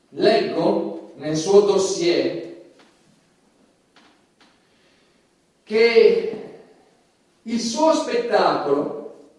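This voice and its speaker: An actor playing a stern, indignant judge who moralizes in a formal, emphatic, theatrical way. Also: male voice, very high voice, a little mellow. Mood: stern, accusing. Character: indignant, stern male judge condemning an obscene comedian. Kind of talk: theatrical stage monologue. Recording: echoey hall, distant mic, music behind.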